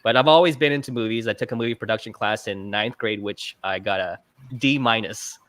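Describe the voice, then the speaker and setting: A man's voice: fairly low pitch, brisk, fairly bright tone, no room sound. A man guesting on a livestream interview, talking casually about his filming work with lots of fillers and self-deprecating jokes. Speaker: guest, fish channel videographer. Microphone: video call mic.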